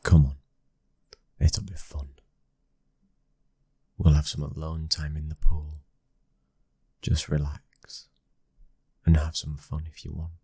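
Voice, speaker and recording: A British man playing a protective, devoted young mafia heir and lover in an intimate ASMR roleplay, speaking softly and tenderly, often near a whisper. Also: a bass voice, completely flat delivery, slow pace, long pauses, breathy edge, no room sound, studio quality, exaggerated voice. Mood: affectionate, relaxed.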